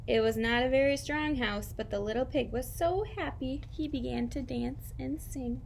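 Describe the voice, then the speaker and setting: A woman teacher reading aloud and talking directly to young children in simple, expressive language. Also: sits high, moderate pace, vocal fry, a little hiss or hum. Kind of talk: children's read-aloud and lesson.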